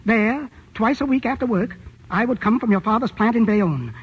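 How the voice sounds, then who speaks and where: An actor playing a timid, fearful older chemist, speaking formally and precisely in a 1940s-style radio drama. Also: male voice, sits high, normal speed, breathy edge. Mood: grave confession. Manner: formal, precise, methodical phrasing. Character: timid, fearful aging male chemist confessing crimes. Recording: muffled, very noisy old radio recording.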